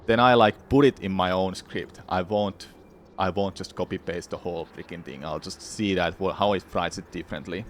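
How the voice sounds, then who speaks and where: A man's voice: low-pitched, steady tone, normal speed, a little hiss or hum. A man from Finland with a Finnish accent, chatting casually with his viewers during a game playthrough, rambling and swearing freely. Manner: rambling, frank, swears freely, many restarts. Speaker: gaming streamer.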